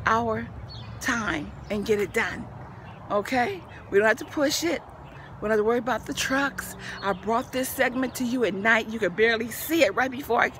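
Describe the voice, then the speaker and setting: A woman's voice: fairly high pitch, a little hiss or hum. An older woman who says she is losing her voice gives an upbeat, preachy tour of her backyard garden, filmed outdoors on a handheld phone. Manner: lively, preachy encouragement with church phrases. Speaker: vlogger. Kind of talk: casual home video tour.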